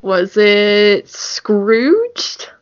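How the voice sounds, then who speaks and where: A woman's voice: mid-range voice, very animated, extremely slow. A woman co-hosting a casual podcast with short, informal interjections. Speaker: podcast co-host. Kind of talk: casual chat. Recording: muffled, lo-fi podcast audio.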